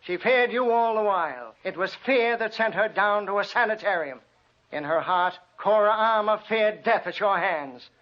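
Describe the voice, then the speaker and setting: A relentless detective played by a man confronts a killer in a vintage radio drama. The voice is high for a man, stern and deliberately dramatic, on a muffled old recording. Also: lightly acted. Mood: sternly accusing. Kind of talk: 1940s radio drama acting.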